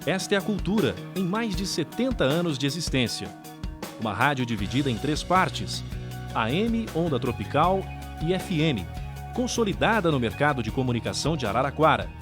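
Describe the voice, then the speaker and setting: A man's voice: a little high, very animated, moderate pace, music in the background. A Brazilian man reading scripted documentary narration.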